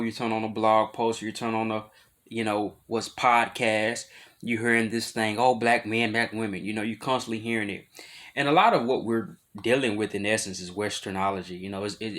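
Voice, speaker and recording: Low-voiced man, rambling casual talk. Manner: loose, rambling, with frequent fillers and restarts; grows louder and higher when making a point. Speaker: vlogger.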